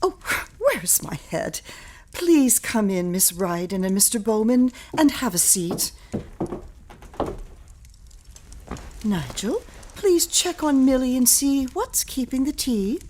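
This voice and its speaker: An actress playing a flustered, fragile widowed mother in a period audio drama, halting and repeating her words in a genteel, anxious way. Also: on the high side, sing-song, a little mellow, a little hiss or hum. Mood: fussing, distracted.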